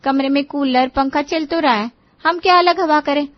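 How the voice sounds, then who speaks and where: A woman voicing a polite young daughter-in-law who talks back gently in a cartoon moral story. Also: high voice, normal speed, muffled recording, voice pushed up, lightly acted. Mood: protesting.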